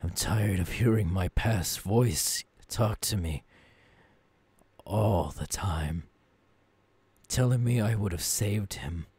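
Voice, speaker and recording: A man playing a tormented, menacing young ghoul king in an ASMR audio roleplay, in a breathy, often whispering voice with brooding phrasing. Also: on the low side, slow pace, pauses often, darkish tone, dry recording, exaggerated voice. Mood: anguished. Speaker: voice actor.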